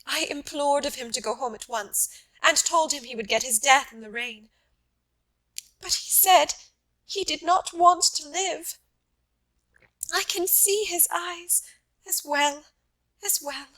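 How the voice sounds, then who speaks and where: A woman narrator plays a young wife mourning a lost love in an audiobook story. Her voice is veiled and sorrowful, with halting phrases and a bright, aching tone. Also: sits high, voice pushed up, rough recording. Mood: anguished. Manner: sad, halting.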